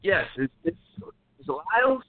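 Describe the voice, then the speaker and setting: A man, a musician guest, chatting easygoing and a little halting on a call-in. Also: high-pitched, sing-song, no room sound. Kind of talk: casual phone interview. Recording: dry, tinny phone line.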